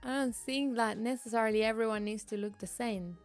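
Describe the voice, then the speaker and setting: A chatty woman, talking loosely and laughing between thoughts. Her voice sits a little high. Quiet music plays underneath her. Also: fairly even intonation, a little fast. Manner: giggly, chatty, frequent laughs and restarts. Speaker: VTuber streamer. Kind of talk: casual chat reading live chat.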